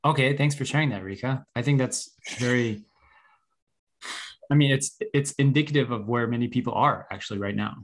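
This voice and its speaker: A man's voice: mid-range voice, a little fast. A man hosting a group discussion, explaining and advising in an earnest, conversational way. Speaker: host guiding a group discussion.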